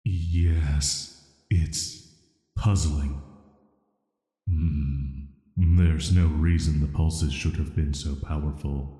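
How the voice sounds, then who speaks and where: A man playing an ancient banished villain in an audio roleplay, speaking softly in a flat, measured, hesitant way. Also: full character voice. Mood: calm, pondering. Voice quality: very deep, soft, atmospheric. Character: ancient banished male villain, immortal cursed being, calm and flirty. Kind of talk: slow scripted roleplay audio drama monologue. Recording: echoey, long cavernous reverb.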